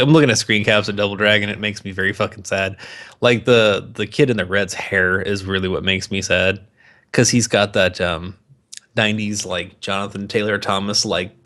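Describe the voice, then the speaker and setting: A man's voice: on the low side, fairly bright tone. Sarcastic man bantering on a podcast. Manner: sarcastic, wisecracking. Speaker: podcast co-host. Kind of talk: casual, profane banter about movies.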